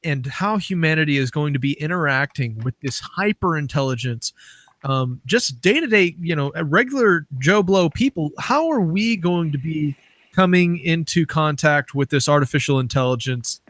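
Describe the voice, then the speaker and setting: Higher-voiced casual male interviewer. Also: expressive, normal speed, fairly bright tone, dry recording. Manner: informal, rambling lead-ins to questions. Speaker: interviewer, host.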